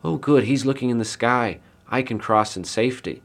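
A man voicing an earnest little peasant girl in a children's story, speaking simply and softly. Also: medium pitch, normal speed, creaky. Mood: wary. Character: small, hopeful peasant girl.

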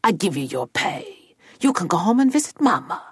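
Woman playing a gruff, theatrical ogre uncle. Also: mid-range voice, very animated, normal speed, no echo, clean studio sound. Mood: grandly instructing. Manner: theatrical, instructing, peppered with Italian words. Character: gruff, shrewd male Italian ogre uncle, generous boss.

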